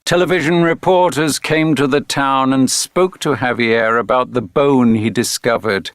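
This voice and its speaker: A man reading a children's read-along story as a narrator, deliberate and expressive. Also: medium pitch, no room sound, clean studio sound. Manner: deliberate, clearly phrased, expressive reading for learners. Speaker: textbook audio narrator.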